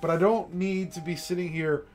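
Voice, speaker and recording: A man's voice: high voice, steady tone, moderate pace, darkish tone. Passionate streamer man, emphatic late-night rant. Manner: passionate, emphatic, repeats "okay?" to drive points home. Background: faint classical music.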